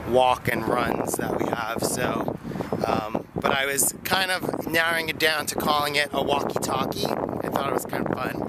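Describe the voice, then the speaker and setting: Chatty man vlogging on a noisy walk. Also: medium pitch. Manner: chatty, rambling, with fillers and light laughs. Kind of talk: casual walking vlog. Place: outdoor park path near a street. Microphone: phone held at arm's length. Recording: loud outdoor traffic noise.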